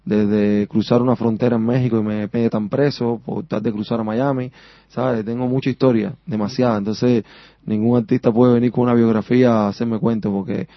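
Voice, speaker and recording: A man from Cuba speaks with a strong Havana accent, rambling freely and tossing in "sabes?" as he tells his story. His voice sits at an ordinary pitch. The sound is dry and a little dull, as in a radio studio. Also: flat delivery, a little fast, no echo. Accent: Havana Cuban Spanish. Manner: talkative, rambling, keeps asking "sabes?". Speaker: singer, interview guest. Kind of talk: radio interview.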